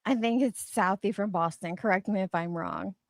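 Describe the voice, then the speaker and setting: A woman's voice: mid-range voice, normal speed, no room sound, clean studio sound. A woman co-host giving playful, sweary dramatic readings of online comments, with banter in between.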